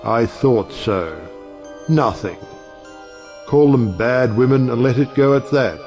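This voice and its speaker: An actor playing a wry, provocative middle-aged judge and uncle in an audio play, speaking in a rhetorical, oratorical way. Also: male voice, mid-range voice, slow, a little mellow. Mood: impassioned, scornful. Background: music.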